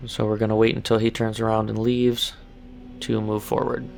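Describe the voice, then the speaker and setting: Hoarse man calmly guiding a game. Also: fairly low pitch, moderate pace, slight background noise. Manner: calm step-by-step instructions. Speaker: gameplay walkthrough narrator. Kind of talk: casual game walkthrough commentary.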